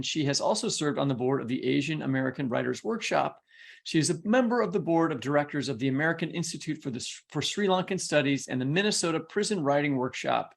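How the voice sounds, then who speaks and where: A man's voice: fairly high pitch, gravelly. A man co-hosting a podcast in casual conversation, rambling and correcting himself as he goes, with a teasing way about him. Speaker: podcast co-host and interviewer.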